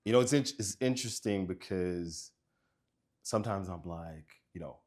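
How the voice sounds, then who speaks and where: A man with a very deep voice hosts a relaxed interview. He talks in a laid-back way, repeats words, and fills pauses with fillers. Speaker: interview host.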